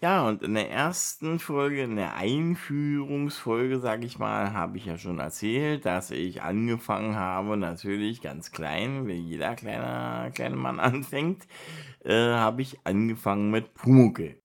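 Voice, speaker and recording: A man's voice: on the low side, expressive, a little slow, vocal fry. A man in his fifties hosting his own podcast, talking in a loose, rambling way with lots of filler words and repeated phrases. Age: 50s. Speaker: podcast host. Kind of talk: casual solo podcast monologue.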